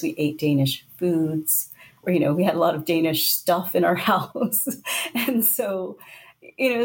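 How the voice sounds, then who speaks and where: A woman's voice: fairly low pitch, darkish tone. A middle-aged woman guest in an interview, telling her story thoughtfully. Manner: thoughtful storytelling, light laughs. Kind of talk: conversational interview.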